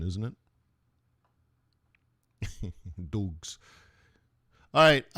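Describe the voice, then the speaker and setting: A man's voice: on the high side, fairly bright tone, smooth. A middle-aged man hosting a live trading stream, talking casually in rambling asides and laughing often. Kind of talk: casual rambling livestream talk.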